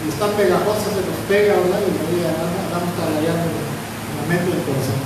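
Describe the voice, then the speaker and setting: Mexican pastor, kinda high voice, talky sermon, far off mic in a big echoey hall. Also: male voice, fairly even intonation, brisk, heavy background noise. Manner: conversational, repeats words and asks "verdad?" often. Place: church hall.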